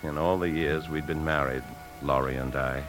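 An American actor playing a scheming, secretive married bank man in a 1950s radio drama, brooding and deliberate. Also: rumbling low, fairly even intonation, over music, rough recording. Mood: brooding, obsessed. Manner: brooding, deliberate, hesitant when lying. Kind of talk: 1950s radio drama acting and confessional narration. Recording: 1950s radio broadcast recording.

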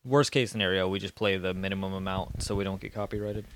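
A man co-hosting a casual podcast chat, tossing in quick dark-humored quips. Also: low voice, steady tone, slight background noise. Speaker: podcast co-host. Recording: music playing behind the talk.

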